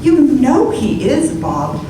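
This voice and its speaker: A sharp-tongued mother vents her scorn, as a woman plays her with lively, swooping pitch. She is heard from afar on a live stage, the sound echoing, with clear room noise. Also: slow pace, far from the mic, heavily acted. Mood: scornful. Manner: scornful, sarcastic. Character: indignant mother, sharp-tongued, fond of family.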